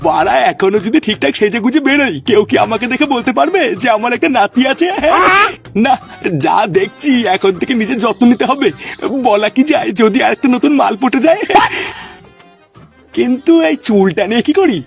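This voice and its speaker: A man voicing a crabby, vain old grandfather in a comedy cartoon, husky and animated, given to cursing grumbles. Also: extremely high pitch, fairly quick, soft background music, full character voice. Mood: vain, musing.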